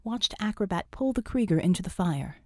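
Female audiobook narrator for action fiction: warm, relaxed timbre, scripted storytelling, dry close recording. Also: medium pitch, a little fast, no room sound.

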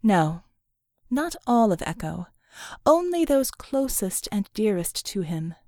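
A woman reading scripted fiction as an audiobook narrator, measured and dramatic in her storytelling. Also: mid-range voice, sing-song, a little mellow, no room sound, clean studio sound.

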